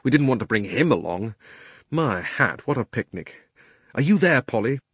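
A young schoolboy adventurer from a children's story, played by a male narrator. He is plucky and impatient. His delivery is earnest and lively in pitch. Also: moderate pace, husky, no room sound, clean studio sound. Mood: exasperated.

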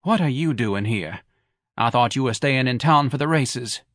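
A man voicing a wealthy middle-aged wife who needles her husband with sarcastic, drawn-out nagging. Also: mid-range voice, animated, fairly bright tone, no room sound, clean studio sound, pitched above the performer's own voice, lightly acted. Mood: needling.

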